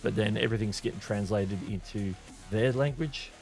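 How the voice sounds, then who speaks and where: A man's voice: on the low side, normal speed, vocal fry, darkish tone, faint music behind. A man hosting a live creator news stream, talking loosely with lots of restarts and fillers, reading viewer chat aloud. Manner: rambling, many restarts and fillers. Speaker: host.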